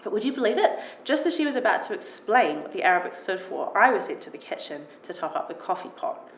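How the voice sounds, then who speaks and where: A woman giving an expressive reading of her own first-person short story. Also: mid-range voice, quick pace. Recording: roomy echo with clear background noise.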